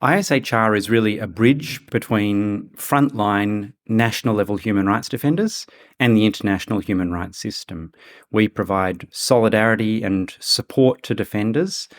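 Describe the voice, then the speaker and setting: Low-voiced formal man from Australia. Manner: formal, deliberate. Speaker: human rights advocate. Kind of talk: interview answers.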